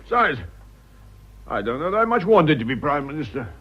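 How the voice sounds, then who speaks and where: An older upper-class British gentleman with a husky, lively voice. He is gruff and blustering yet fond, speaking in clipped bursts. The radio-drama sound is a little dull. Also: on the high side, a little fast. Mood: fond, reassuring. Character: blustering, fond, jealous old English aristocrat.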